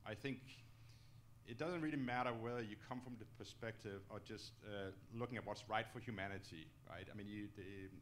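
A man's voice: lowish voice, brisk, fairly bright tone, a little hiss or hum. A middle-aged man from Denmark, a native Danish speaker, giving earnest, emphatic answers in an on-stage interview, often checking in with "okay?". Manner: emphatic, ends points with "okay?". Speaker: company executive, guest speaker. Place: auditorium stage, indoors. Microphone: handheld microphone.

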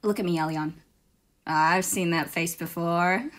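A woman's voice: on the low side, steady tone, measured pace, lightly acted. A woman voicing a brash, dramatic, bickering schoolgirl in an amateur comic fandub. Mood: smug.

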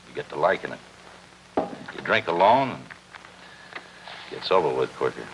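A middle-aged American man playing a weary, hard-drinking diver in a 1950s TV drama, with a gravelly voice. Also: a bass voice, big pitch swings, a little slow, heavily acted. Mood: guarded, wry. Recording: noisy old 1950s television soundtrack.

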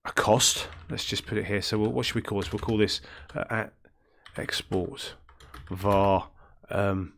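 A man with a deep, throaty voice from illness, talking through a coding tutorial in a rambling way, with many ums and self-corrections. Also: steady tone, a little slow, vocal fry, fairly bright tone, slight background noise. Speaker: game development tutorial host. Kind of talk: screen-recorded coding tutorial.

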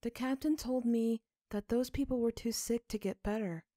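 Woman putting on a little girl for a story, innocent, curious, kinda flat, pitched up. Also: moderate pace, no room sound, clean studio sound, lightly acted. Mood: earnest, puzzled. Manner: flat, childlike questioning. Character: innocent, curious, inexpressive young girl, not quite human.

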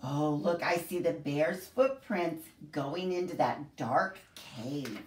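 Animated woman storyteller with lively, swooping pitch. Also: a little hiss or hum. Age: middle-aged. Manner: animated, deliberate, sing-song storyteller delivery. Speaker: children's library story time host. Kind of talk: expressive picture-book read-aloud for young children. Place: small home room, indoors. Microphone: camera a few feet away. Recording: roomy echo, distant camera microphone.

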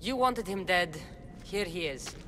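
An actress playing a calm, self-assured female mercenary warrior in a video game, speaking dryly. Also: fairly low pitch, unhurried, a little hiss or hum. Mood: cool. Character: cool, confident female mercenary warrior, adult. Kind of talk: video game cutscene acting. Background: game score.

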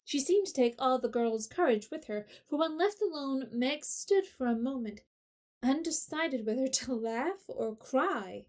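A woman with a fairly high voice narrates a classic story. She reads in a gentle, measured way, like a storybook. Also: expressive.

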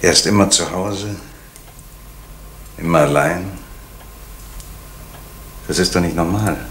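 A strict, very low-voiced postal boss and father, stern and principled, old radio play. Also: slow, fairly bright tone, a little hiss or hum. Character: strict middle-aged male postal official and father. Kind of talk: 1970s East German radio drama acting.

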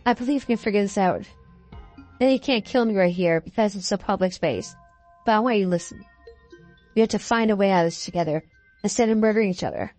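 A woman's voice: medium pitch, fast talker, darkish tone, lightly acted. Visual novel cafe maid, young, composed, shrewd and earnest, played by a woman, faint music bed. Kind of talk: visual novel dialogue reading. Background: background music.

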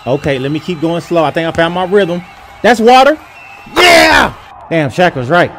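A man's voice: on the high side, very animated, normal speed, fairly bright tone, shouting, some chatter behind, a little hiss or hum. A man doing a profane comedic sports voiceover in slangy, emphatic, mock-serious speech.

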